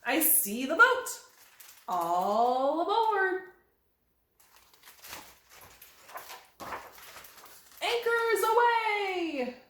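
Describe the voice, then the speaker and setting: A woman voicing a cheerful little bunny sailor from a children's story, with exclaiming, drawn-out lines. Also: very high-pitched, sing-song, fairly bright tone, voice pushed up, heavily acted. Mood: cheerful, excited. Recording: very echoey, roomy sound.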